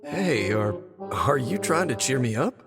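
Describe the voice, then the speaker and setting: Kind, easygoing male postman, gentle folksy game character, very animated pitch, music underneath. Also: unhurried. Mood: gentle, caring. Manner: gentle, chatty, coaxing, folksy. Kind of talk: video game voice acting.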